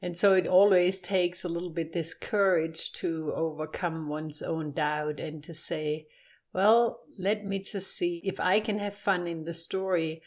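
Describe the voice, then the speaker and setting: Older German woman, low-pitched and slow. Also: fairly even intonation, darkish tone. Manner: halting, searching for words. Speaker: storyteller, interview guest. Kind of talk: reflective interview talk.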